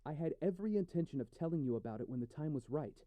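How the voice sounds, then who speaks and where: Male actor as principled wealthy doctor, defensive, reasoning, earnest, fairly high pitch, audio drama. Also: lively intonation, rapid-fire, warm tone, no room sound, lightly acted.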